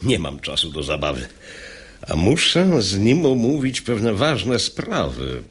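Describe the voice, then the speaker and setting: An urbane uncle in a radio stage comedy. He is wry and measured, with a lowish, husky voice and very swooping intonation. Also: huge pitch swings, exaggerated voice. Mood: composed. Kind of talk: classic stage comedy radio acting in verse.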